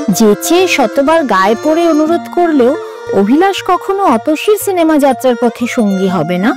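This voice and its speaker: A woman reading a literary story aloud in a dramatic, expressive narrator's style. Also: sits high. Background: music.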